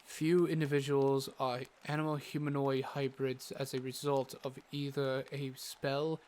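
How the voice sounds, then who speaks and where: A man playing a learned, brisk doctor and forest guide in a fantasy audio drama, speaking in a formal, instructive way. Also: mid-range voice, little pitch movement, darkish tone, close to an everyday voice. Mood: thoughtful.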